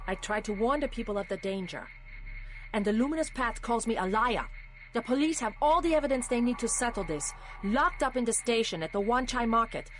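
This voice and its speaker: A woman playing a sly, poised Hong Kong politician in a video game, with a measured, persuasive delivery. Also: fairly high pitch, fairly bright tone.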